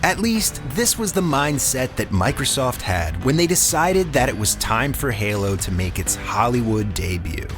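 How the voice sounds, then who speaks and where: A man's voice: mid-range voice, sing-song, moderate pace, hardly any pauses. A man narrating a scripted documentary as host, with a dramatic storyteller delivery. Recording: music bed under voice. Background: background music.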